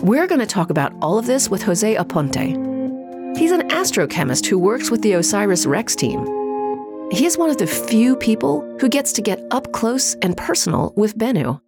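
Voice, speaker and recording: A woman's voice: medium pitch, very animated, normal speed, over loud music. A young adult woman hosting a science podcast, upbeat and conversational. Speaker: podcast host. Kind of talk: podcast interview.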